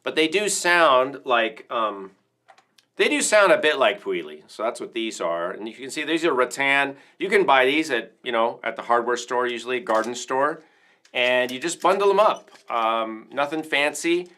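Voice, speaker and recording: A man hosts an informal livestream in a bright, crisp-edged voice at an average male pitch. He talks like a percussion teacher showing off favorite gear, rambling along casually with clear enthusiasm. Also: lively intonation, moderate pace.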